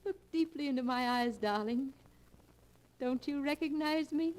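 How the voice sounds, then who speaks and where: An actress playing a possessive dead wife in a stolen body, intimate and theatrical, in 1940s radio drama style. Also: female voice, sits high, a little slow, a little mellow. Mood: coaxing, eerie.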